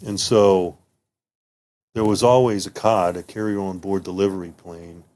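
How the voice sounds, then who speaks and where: Man, 50s, native German speaker, retired officer telling old service stories. Low-pitched, rambling, lots of restarts. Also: steady tone, a little slow, a little mellow. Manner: rambling, many restarts and "you know"s. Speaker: retired military officer guest. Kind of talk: casual interview reminiscing.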